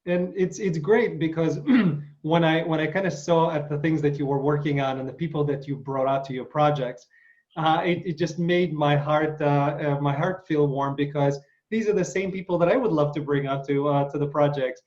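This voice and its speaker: Enthusiastic host, slightly high voice. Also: male voice, fairly even intonation, moderate pace, darkish tone, highs cut off. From Ukraine. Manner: enthusiastic, frequent fillers and restarts. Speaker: interview host. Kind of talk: casual video interview.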